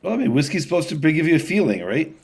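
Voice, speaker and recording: A man's voice: on the high side, very animated, rapid-fire, creaky, husky. A man speaking as an interview guest in casual chat, explaining things informally with frequent "you know" asides. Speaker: guest, distillery founder and whiskey maker.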